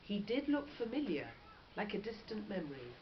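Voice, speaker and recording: A woman's voice: medium pitch, moderate pace. A woman reading a children's story aloud expressively, like a teacher. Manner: animated, with short explanatory asides. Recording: dull lo-fi audio with faint noise.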